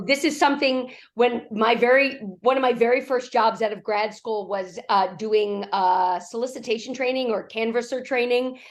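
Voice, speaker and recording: A middle-aged woman executive coach with a raspy voice from a cough, answering interview questions in lively storytelling. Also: on the high side. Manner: animated storytelling, acts out quoted lines.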